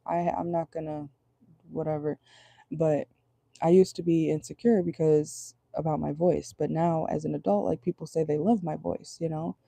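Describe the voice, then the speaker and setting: Low-voiced, gravelly woman reading tarot. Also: fairly even intonation, moderate pace, warm tone, no echo. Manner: chatty, rambling, keeps checking in with "okay?". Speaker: tarot reader. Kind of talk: casual tarot reading to viewers. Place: at home indoors at night.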